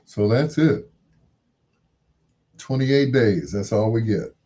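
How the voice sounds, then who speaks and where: Slow, mellow, husky American man. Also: fairly low pitch. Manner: musing, asks rhetorical questions. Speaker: radio-style host. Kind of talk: casual rambling monologue.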